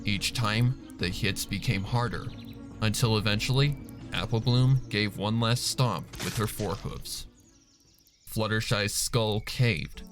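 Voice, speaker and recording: A man narrating a piece of fan fiction as a dramatic scripted reading. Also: on the low side. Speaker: story narrator. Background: faint music.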